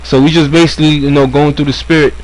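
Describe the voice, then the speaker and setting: A man's voice: fairly high pitch, little pitch movement, fast, a little mellow, a little hiss or hum, low-quality recording. A man giving casual religious commentary, earnest and repeating himself often. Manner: earnest, repeats words, says "all right" often. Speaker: co-host.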